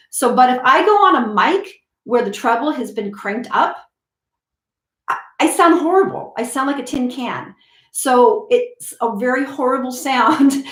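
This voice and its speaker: A woman's voice: fairly high pitch, reverberant. A woman voice teacher explaining to camera in a chatty way, repeating points and restarting phrases. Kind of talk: casual teaching talk to camera.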